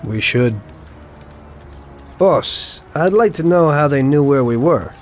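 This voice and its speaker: A man's voice: a little high, lively intonation, takes it slowly, soft background music. Loyal, level-headed mob henchman. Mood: wary. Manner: deferential, understated. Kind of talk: video game cutscene acting.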